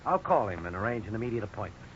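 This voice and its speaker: An actor playing a polite, businesslike legal official in a 1950s radio drama, formal and precise. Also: male voice, fairly low pitch, very animated, racing, a bit bright. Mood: courteous, businesslike. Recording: dull, noisy 1950s radio recording.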